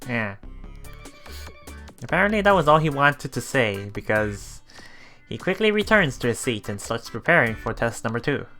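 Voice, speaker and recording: A man doing a let's play, reading story narration aloud with casual commentary, halting and chuckling as he goes, with game music behind him. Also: mid-range voice, sing-song, sharp tone. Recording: voice over game background music.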